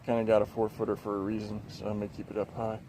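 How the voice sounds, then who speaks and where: A man's voice: lowish voice, monotone, quick pace, warm tone. Casual man rambling over noisy car audio. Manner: rambling, informal, unscripted explaining. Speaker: DIY car video host. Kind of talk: casual hands-on install walkthrough. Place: in and around a car.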